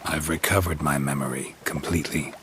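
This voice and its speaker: An actor playing a gruff, sardonic monster hunter in a video game, speaking in a gravelly, terse deadpan. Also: male voice, very deep voice, brisk, noisy background. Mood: dry teasing. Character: gruff, sardonic male monster-hunting mercenary, blunt and dry. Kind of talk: video game dialogue acting.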